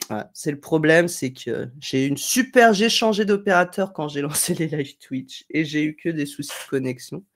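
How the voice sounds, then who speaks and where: Chatty woman with a head cold. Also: sits low. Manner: chatty, digressive, friendly, thinking aloud with fillers. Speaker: live stream host. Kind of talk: casual live tutorial, reading chat comments. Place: home room at a desk, indoors.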